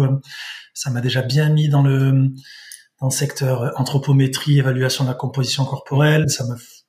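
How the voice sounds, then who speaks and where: A man's voice: mid-range voice, a little mellow. Hesitant man, plain mid voice. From southern France, Vaucluse. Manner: hesitant, many fillers and restarts. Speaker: guest, sports dietitian-nutritionist. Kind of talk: conversational interview answers.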